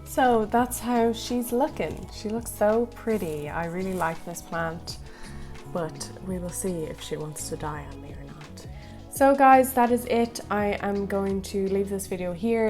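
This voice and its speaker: A young woman from Ireland with a slightly American-tinged accent, chatting casually and rambling through viewer questions. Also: mid-range voice. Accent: slightly American-tinged Irish. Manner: rambling, light laughs, filler-heavy. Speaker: plant vlogger. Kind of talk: chatty Q&A while repotting.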